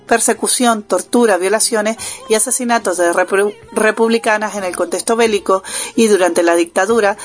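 A woman reading her prepared radio column in a measured, academic way, with the odd small self-correction. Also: medium pitch.

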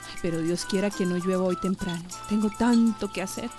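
A woman's voice: medium pitch, fairly quick, over music, lightly acted. An actress with a Costa Rican accent playing a busy, fussing village mother in a radio drama. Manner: flustered, scolding.

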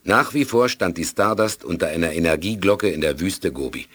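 An actor narrating a science-fiction radio play as a serious, measured storyteller. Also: male voice, low voice, lively intonation, fairly bright tone, no echo. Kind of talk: scripted radio drama narration.

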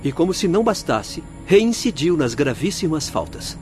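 Grave calm doctor explaining, radio drama, faint music. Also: male voice, fairly high pitch, moderate pace. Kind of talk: Brazilian radio drama acting.

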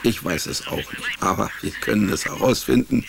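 A man's voice: fairly low pitch, expressive, moderate pace, creaky, noisy background. An actor playing an awkward, wisecracking male private detective with an offhand way of talking in a radio drama. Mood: fond, musing. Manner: hesitant, stammering, offhand. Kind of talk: German radio drama acting.

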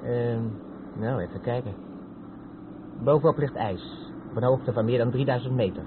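A man's voice: medium pitch, moderate pace, close to an everyday voice. An actor playing a curious, eager young male traveller in a radio play. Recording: tinny noisy old radio play recording.

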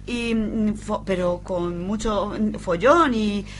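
Actress playing a slow, dizzy, muddled woman. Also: mid-range voice, slight background noise, close to an everyday voice. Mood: dazed. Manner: halting, stammering, sighing. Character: dizzy, muddled woman losing her train of thought. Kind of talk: amateur radio soap acting.

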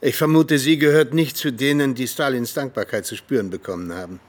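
An actor as a disillusioned, sardonic ageing revolutionary. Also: male voice, medium pitch, fairly quick, natural voice. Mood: probing, sardonic. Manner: heated, sardonic speechifying. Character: disillusioned, hot-headed ageing ex-Soviet agent, bitterly sardonic man. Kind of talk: radio drama acting.

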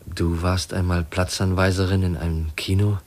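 An actor in a 1950s German radio drama playing an earnest, brooding young man with a husky voice and a melancholy manner. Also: sits low, flat delivery, normal speed, darkish tone. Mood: tender, earnest. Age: young adult. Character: brooding, earnest young heir from circus life.

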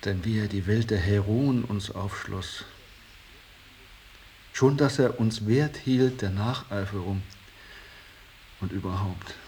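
Slow, low-voiced, rhapsodic painter. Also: male voice, a little hiss or hum. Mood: reverent, nostalgic. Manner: rhapsodic, solemn, swinging from hushed to outbursts. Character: Lachmann, embittered, idealistic male painter revering his old master. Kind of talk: 1980s German radio drama acting.

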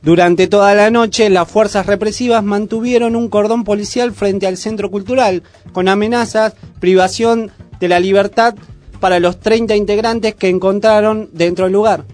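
High-voiced Argentine man reading news. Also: fairly even intonation, normal speed, darkish tone. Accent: Argentine Rioplatense. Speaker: community radio news presenter. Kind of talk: scripted radio news reading. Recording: music playing under the voice. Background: music bed.

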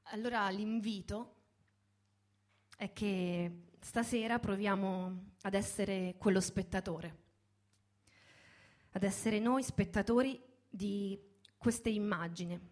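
A woman in her thirties introduces a live theatrical reading in a reflective, halting way, with pauses and repeated words. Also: medium pitch, steady tone, a little mellow. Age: 30s. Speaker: host and presenter of a live reading.

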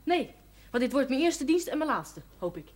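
A woman's voice: high-pitched, sing-song, moderate pace. An actress playing a blunt, chatty young woman looking for work as a maid, in a 1970s TV drama. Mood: cheeky. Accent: Dutch. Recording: old TV soundtrack with faint hiss.

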